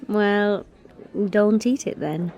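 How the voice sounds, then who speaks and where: An actress playing a forthright, wry young Englishwoman in a radio comedy, brisk, teasing and direct. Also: medium pitch, darkish tone, lightly acted. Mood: wry, firm. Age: young adult. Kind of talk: radio comedy drama acting.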